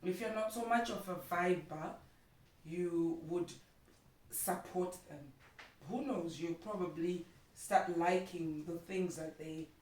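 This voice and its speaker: A woman's voice: on the low side, takes it slowly, a little mellow. A woman from Zimbabwe with a Zimbabwean accent, chatting as an interview guest and making her points emphatically with repeated phrases. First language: Shona. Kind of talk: casual conversation about marriage. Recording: echoey room, distant mic, faint noise.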